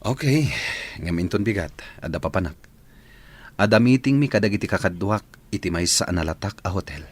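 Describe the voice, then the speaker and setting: Here is a man playing an evasive, easily irritated male partner in a comedy radio drama. His voice sits low and swings widely in pitch, with an Ilocano accent. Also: lively intonation, brisk.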